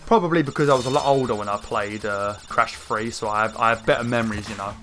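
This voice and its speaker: Croaky man chatting over gameplay. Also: fairly low pitch, fast. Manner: rambling, chatty, many fillers, excited outbursts. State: croaky throat. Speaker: gaming channel host. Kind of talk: casual gameplay commentary. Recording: faint game music behind. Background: game music.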